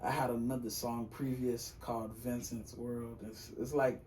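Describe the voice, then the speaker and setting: A young man who raps and sings, talking casually on a podcast in a loose, rambling way, full of slang and fillers. His voice is on the high side. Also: flat delivery, moderate pace, darkish tone, far from the mic, a little hiss or hum. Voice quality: high-pitched voice. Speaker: rapper and singer guest.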